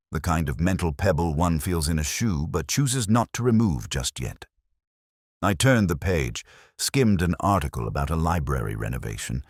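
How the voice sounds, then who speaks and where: An older man playing a melancholy, cerebral detective, narrating a scripted mystery in a measured, reflective way. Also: extremely low pitch, dry recording, studio quality, full character voice. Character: melancholy, cerebral, brooding male English police detective.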